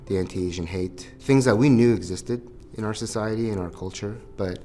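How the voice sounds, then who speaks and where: An American-born man with a lowish voice answers interview questions in a measured way, pausing now and then. Faint music plays behind. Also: mellow. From USA.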